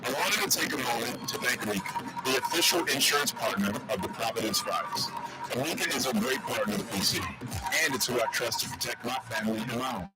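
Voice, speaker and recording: A man's voice: medium pitch, fast. A man reading a scripted radio advertisement. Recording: radio ad, loud background noise.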